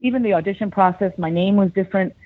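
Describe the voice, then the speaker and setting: A woman's voice: mid-range voice, fast. A middle-aged American actress talks through a tinny, phone-like line with no room echo. She tells her stories animatedly, slips into voices for quoted lines, and laughs often. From United States. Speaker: actress, interview guest. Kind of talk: casual interview chat.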